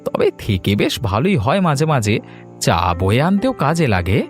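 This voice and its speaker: A man's voice: medium pitch, sing-song, moderate pace, music in the background, close to an everyday voice. A man voicing a sociable village trader husband in a cartoon folk tale, coaxing and appeasing in manner. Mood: chatty, excusing.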